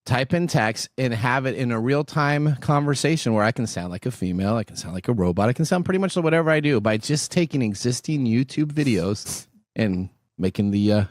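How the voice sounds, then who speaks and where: Male talk radio host for tech news discussion. Conversational explainer, medium pitch. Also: expressive, brisk, dry recording, clean studio sound.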